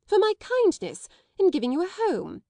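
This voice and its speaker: A harsh, domineering schoolmistress, put on by a woman reading aloud, scolding in a cold, sharp, raised voice that pushes higher and louder than the reader's own. The sound is close and dry, with no room around it. She talks down to her listener like someone used to being obeyed. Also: animated, normal speed, dry recording. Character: stern domineering middle-aged woman schoolmistress. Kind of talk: audiobook character voice.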